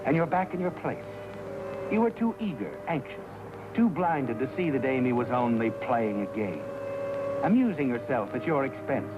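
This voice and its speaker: Ominous omniscient crime narrator, male actor, American, mid-pitched, taunting and dramatic, vintage soundtrack with heavy music. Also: lively intonation, normal speed, highs cut off, natural voice. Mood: taunting, knowing. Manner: taunting, knowing, dramatic second-person address. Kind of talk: 1950s crime anthology narration. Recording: old 1950s soundtrack under loud music.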